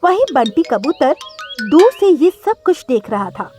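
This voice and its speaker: A woman's voice: high voice, very animated, unhurried, dark tone, faint music behind. A woman narrating a scripted cartoon story as the storyteller.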